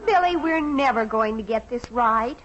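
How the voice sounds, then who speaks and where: An actress playing an earnest, romantic and easily indignant American teenage daughter in 1950s radio sitcom style. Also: female voice, fairly high pitch, animated, moderate pace, close to an everyday voice. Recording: muffled, noisy vintage radio recording.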